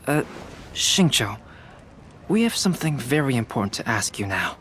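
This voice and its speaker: A man voicing a calm, analytical young male alchemist and illustrator in a video game, soft-spoken and logical. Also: medium pitch, normal speed, a little hiss or hum.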